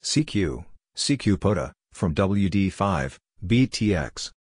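Man, low-pitched, slightly rough tone, scripted phrase reading, deliberate clipped delivery, dry close recording. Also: lively intonation, no echo. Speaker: narrator of Morse code practice phrases.

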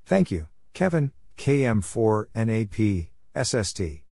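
A man reading out short scripted phrases as a practice narrator, deliberately spelling things letter by letter. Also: on the low side, sing-song, creaky, dark tone, dry recording, studio quality. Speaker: Morse code practice narrator.

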